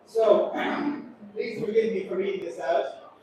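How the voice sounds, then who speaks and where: A man's voice: sits high, very animated, takes it slowly, very echoey. Man, ship captain, quippy and self-deprecating, heard from far off, crowd noise behind. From Ireland. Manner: chatty, joking, self-deprecating. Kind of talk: announcement to passengers. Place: large echoey atrium on a cruise ship.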